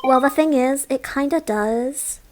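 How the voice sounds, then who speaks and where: Bubbly young feline huntress , woman performer, high cute voice, playful audio-drama role, music bed. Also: measured pace. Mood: hesitant. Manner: cutesy, playful, peppered with meows. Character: bubbly, affectionate young female cat-like alien huntress. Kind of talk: fan audio drama character acting.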